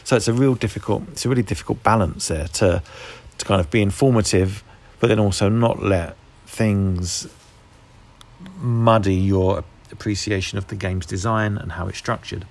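A man hosting a podcast, talking casually about video games in a measured, thoughtful way. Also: lowish voice, fairly even intonation, gravelly, darkish tone. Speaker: podcast host. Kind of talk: casual video game discussion.

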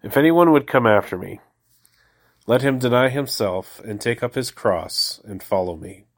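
A man with a head cold, hosting a daily prayer podcast and reading the liturgy in a calm, measured way. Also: mid-range voice. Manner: calm, measured, devotional reading with slow pauses.

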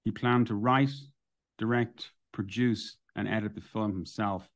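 A man hosting an interview, reading a measured introduction and asking questions. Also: on the low side, steady tone. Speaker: podcast host.

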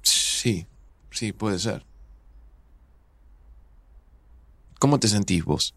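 A man's voice: on the low side, lively intonation, slow pace, vocal fry, a bit bright, dry recording, full character voice. A man playing a melancholy, grieving man in a naturalistic audio drama, with an Argentine accent and a hesitant, introspective way of talking. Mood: guarded, weary. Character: melancholy, grieving, dramatic man, older than his friend.

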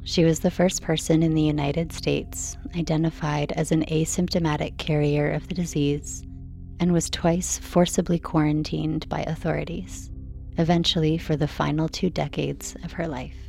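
Female narrator, low-pitched and mellow, restrained soothing bedtime read, faint music underneath. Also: little pitch movement, moderate pace, vocal fry. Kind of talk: calm scripted bedtime non-fiction narration.

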